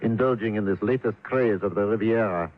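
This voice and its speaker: An actor playing a courteous French police inspector with a French accent, formal and precise, in old-time radio drama style. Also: male voice, lowish voice, brisk, faint music behind, natural voice. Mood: formal, measured. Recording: muffled old radio recording.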